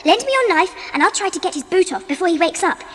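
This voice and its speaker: Earnest, very high-voiced English child. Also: female voice, big pitch swings, fast talker, long reverb tail. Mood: firm, gentle. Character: caring, practical, steady English child. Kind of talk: dramatised audiobook acting.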